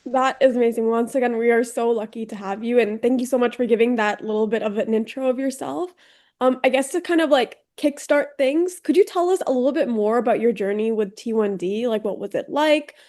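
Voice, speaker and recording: A woman's voice: fairly high pitch, fairly quick, dark tone. A woman hosting a podcast, reading an upbeat introduction and asking friendly questions. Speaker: podcast host.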